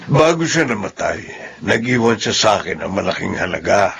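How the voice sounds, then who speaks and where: An actor with a Filipino accent playing an earnest, sincere former prisoner in a radio drama, speaking deliberately to a widow. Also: male voice, on the low side, sing-song, gravelly, a bit bright, slight background noise, exaggerated voice. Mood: earnest, relieved.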